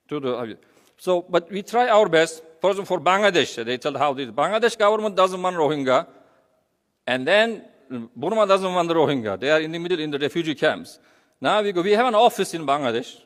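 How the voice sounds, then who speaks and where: A man's voice: a little high, expressive. Turkish-accented man speaking in an echoey hall. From Turkey. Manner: halting, rambling, repeats phrases. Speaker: humanitarian charity representative speaking. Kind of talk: informal public speech. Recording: echoey hall with long reverb.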